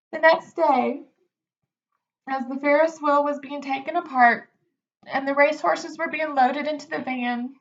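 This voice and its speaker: A woman reading a children's book aloud as an expressive narrator. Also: sits high, vocal fry, dull audio.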